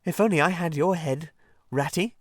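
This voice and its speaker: A man reading a storybook and voicing a quick, sharp-tongued male water rat who talks in a sarcastic, bossy way. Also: a little high, dry recording, studio quality, pitched above the performer's own voice, lightly acted. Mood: mocking sarcasm.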